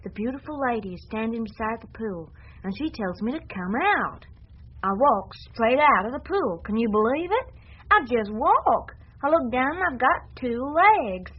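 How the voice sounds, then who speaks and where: A woman's voice: on the high side, big pitch swings, moderate pace, husky, highs cut off. An actress playing a trusting, stubborn, devout young woman in a radio drama, speaking in a plain, folksy, earnest way. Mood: wondering. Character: trusting, stubborn, devout one-legged young female dreamer.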